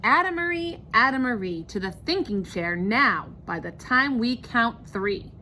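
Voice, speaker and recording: A woman's voice: on the high side, animated, a little slow, pushed and bright, slight background noise. A woman voicing a child's frustrated, scolding parents in a storybook, giving stern, exclamatory commands.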